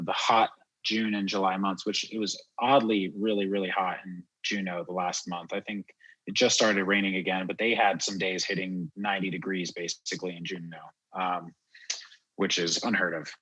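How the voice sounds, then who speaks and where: A man's voice: low voice, fairly even intonation, normal speed, fairly bright tone, rough recording. A man from Miami, born in France, chatting informally and reflectively as an interview guest. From born in France, grew up in Miami, USA. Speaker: podcast guest, wildlife photographer and guide. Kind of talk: casual interview chat.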